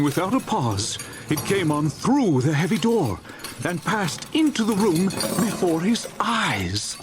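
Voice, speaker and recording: A male narrator tells a dramatized story with theatrical suspense. His fairly high voice rises and falls freely, and he lingers over phrases. Clear ambient noise runs behind him.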